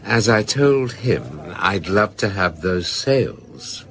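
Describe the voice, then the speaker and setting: Deep-voiced, self-assured British novelist. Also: male voice, lively intonation, slow, a bit bright, slight background noise. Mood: evasive. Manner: evasive, drily flippant. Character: smooth, self-assured middle-aged male crime novelist. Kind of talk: naturalistic radio drama acting.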